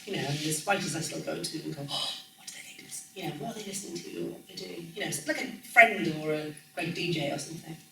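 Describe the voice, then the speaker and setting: A woman in her forties with a soft Welsh lilt talks loosely and warmly through her thoughts, stopping to restart and laughing now and then. Her voice sits a little low. She is recorded from some distance in a roomy, echoing space with faint background noise. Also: gravelly. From Wales. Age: 40s. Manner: chatty, frequent ums and self-corrections, laughs easily. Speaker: music journalist guest speaker. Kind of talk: casual conversational talk. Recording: echoey room, distant mic, faint noise.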